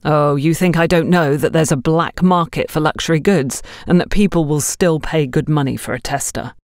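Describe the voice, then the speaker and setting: A woman's voice: deep voice, normal speed, darkish tone, no echo, clean studio sound, lightly acted. A woman voicing a stern female shop supervisor in an audiobook, accusatory and sarcastic. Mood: accusing.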